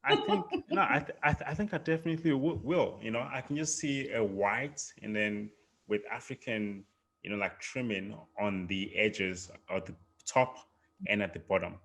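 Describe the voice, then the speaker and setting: A man's voice: on the low side, normal speed, fairly bright tone. A man hosting a podcast, talking casually with stammering restarts and easy laughs. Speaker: podcast host. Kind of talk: podcast conversation.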